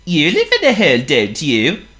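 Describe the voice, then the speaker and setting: A woman's voice: low voice, huge pitch swings, moderate pace, fairly bright tone, pitched above the performer's own voice, full character voice. A woman reading aloud and voicing a polite, simple-minded male seal in a children's storybook, in an earnest, courteous manner.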